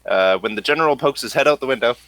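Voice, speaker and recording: Lively man running a tabletop game. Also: medium pitch, fast talker, dry recording. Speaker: game master. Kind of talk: casual tabletop game narration.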